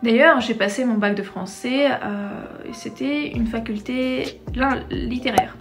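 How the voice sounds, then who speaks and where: Vlogueuse d'une vingtaine d'années, originaire de Crimée, langue maternelle tatar de Crimée, voix assez aiguë, ton vif et joueur. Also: female voice, a little high, unhurried, over music. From Crimea, Ukraine. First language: Crimean Tatar. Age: 20s. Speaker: vlogger. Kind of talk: personal storytelling to camera.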